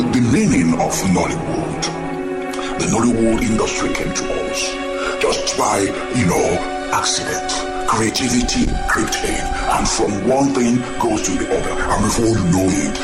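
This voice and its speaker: A man playing a proud, pompous chief who lectures and takes offense over his title. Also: lowish voice. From Nigeria. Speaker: film distributor and producer. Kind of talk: amateur radio drama acting. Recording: echoey room with background music.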